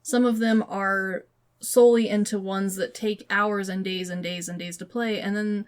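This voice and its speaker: A woman's voice: medium pitch, steady tone, moderate pace, clear, resonant tone. A woman podcast co-host chatting casually and giving her opinions in an informal, loosely phrased way. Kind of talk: casual chat about games and movies.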